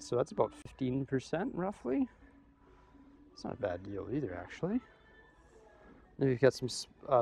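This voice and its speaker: A young man filming himself in a big store, chatting casually as he weighs up prices and thinks out loud. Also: medium pitch, vocal fry. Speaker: vlogger. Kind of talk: casual shopping vlog. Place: large store aisle, indoors. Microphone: camera held at arm's length.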